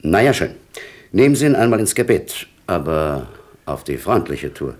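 An actor playing an authoritative, skeptical senior police chief in a radio play, with a gravelly, husky voice. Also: male voice, deep voice, very animated, moderate pace, darkish tone. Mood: calm authority. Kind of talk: German radio play dialogue.

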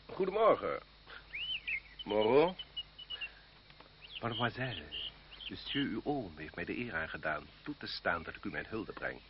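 An actor playing a stately, coldly courteous marquis in a Dutch radio play, pompous and ceremonious. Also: male voice, on the low side, sing-song, a little slow, pauses often, noisy background. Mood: ceremonious, courtly. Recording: muffled old radio play recording.

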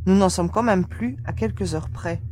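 A woman's voice: medium pitch, normal speed, dark tone, faint music behind, lightly acted. A woman playing a composed, optimistic young crew member in an amateur science-fiction audio drama. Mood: reassuring.

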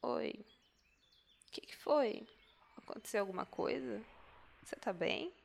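A woman's voice: on the high side, animated, takes it slowly, vocal fry, slight background noise, full character voice. A woman with a Brazilian accent playing a caring, slightly exasperated woman in a naturalistic horror audio drama. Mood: sleepy, uneasy.

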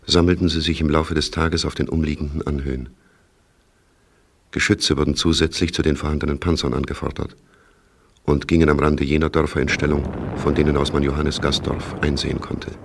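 An actor playing a sober narrator of a radio documentary drama, reading in a measured, grave, factual way with a gravelly voice. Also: male voice, very deep voice, no echo, exaggerated voice. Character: sober male documentary narrator of wartime events. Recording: loud battle noise behind the narration.

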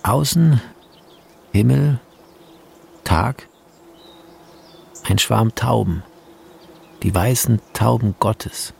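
A man narrating a radio drama, reading out film scene directions in a terse, deliberate, descriptive manner. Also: medium pitch, creaky, darkish tone, husky.

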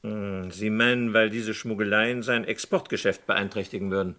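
A man's voice: fairly low pitch, steady tone, normal speed, bright, forceful tone. A man playing an inquisitive, keen and polite investigator in a German radio crime drama of the mid twentieth century, with probing, theatrical inflection. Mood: keen, questioning.

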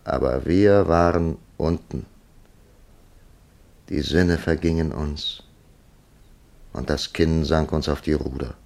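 An actor playing a thoughtful writer who narrates in a radio drama, with a gravelly voice and a measured, deliberate delivery. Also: male voice, a bass voice, a little mellow, heavily acted. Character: thoughtful male writer narrating his own puzzling encounter. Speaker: radio play lead and narrator. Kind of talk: German radio drama acting.

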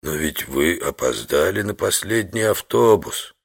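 An actor playing a wary old fisherman from a poor village in an audio drama, slow and questioning, curt but able to turn accommodating. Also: sits low, animated, creaky, exaggerated voice. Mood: probing. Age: older. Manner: slow, questioning, drawn-out reactions.

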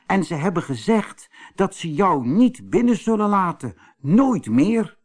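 A man's voice: high-pitched, big pitch swings, unhurried, pitched above the performer's own voice, exaggerated voice. A man voicing a caring, anxious male water rat in a storybook, earnest and fretful. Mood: grave.

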